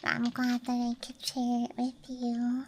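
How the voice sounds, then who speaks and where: A woman whispers slowly in a breathy, hushed ASMR style, close to the mic. Her voice is light and on the high side, drifting gently from one thought to the next. Clear night outdoor ambience and small clicking sounds sit behind her. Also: completely flat delivery, background noise. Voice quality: breathy whisper, mostly unvoiced. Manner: soft, hushed, gentle rambling. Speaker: ASMR streamer. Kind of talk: whispered ASMR, rambling show-and-tell. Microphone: close microphone. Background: night campground ambience, dice and case clicks.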